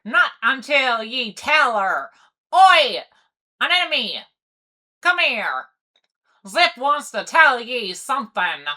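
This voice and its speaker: Cartoon pony sea captain, woman acting, pirate-style speech, bossy matchmaker, slow delivery, bright tone. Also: high-pitched, very animated, exaggerated voice. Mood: teasing. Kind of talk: comic dub cartoon acting.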